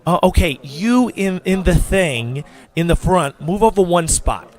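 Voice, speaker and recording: A man playing a fussy, bossy photographer in an audio drama, giving halting instructions. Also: high voice, expressive, a little mellow. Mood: fussy, directing. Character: fussy, bossy male photographer directing a group.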